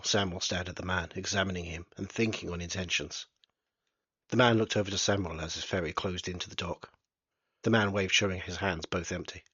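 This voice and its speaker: Deep husky man reading his novel. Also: a bit bright, dry recording, lo-fi sound. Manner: plain, unpolished reading. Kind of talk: storybook narration.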